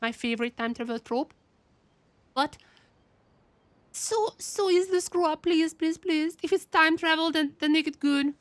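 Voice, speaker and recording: A woman giving excitable, casual live reactions as she streams a game, often repeating her words. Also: sits high, unhurried, long pauses, dry recording.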